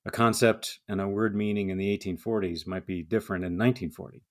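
Male podcast host, very low voice, conversational interviewer. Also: fairly even intonation, fast talker. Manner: conversational, asks questions.

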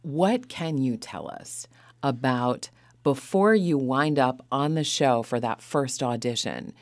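A woman's voice: extremely low pitch, slow, no room sound. A woman hosting a radio interview show, moving between scripted introductions and questions for a guest.

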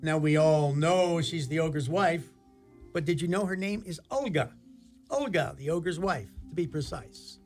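A man's voice: a little high, animated, unhurried, a little mellow, faint music behind. A man narrating a scripted radio play as storyteller, in a theatrical and wry manner.

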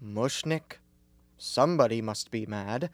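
A young man acting a gruff, impatient American baseball boss who barks slangy, brusque lines in a radio comedy. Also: medium pitch, a little slow, no room sound, studio quality, close to an everyday voice. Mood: exasperated. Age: young adult.

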